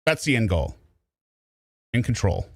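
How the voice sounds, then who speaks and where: A man's voice: on the low side, big pitch swings, measured pace. Exasperated sarcastic male commentator. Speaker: commentary host. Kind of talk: reaction commentary.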